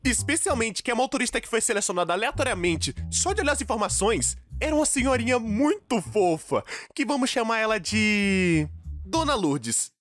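A man's voice: high-pitched, very animated, moderate pace, fairly bright tone, soft background music. A man with a Brazilian accent narrating a comedic scripted story in an exaggerated, dramatic, slangy way. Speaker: storytime animation narrator, dubbing in Portuguese.

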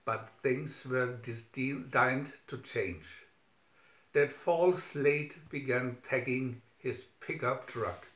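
Male storybook narrator, slow scripted children's read, lo-fi sound. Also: medium pitch, a bit bright. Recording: dull lo-fi recording, roomy echo.

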